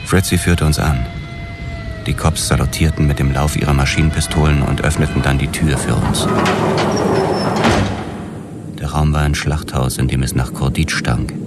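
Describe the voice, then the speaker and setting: Very deep hard-boiled detective narrator. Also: male voice, steady tone, fairly quick. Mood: grim, dazed. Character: hard-boiled male police detective narrating his story. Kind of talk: first-person noir radio drama narration. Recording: music under the voice. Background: music.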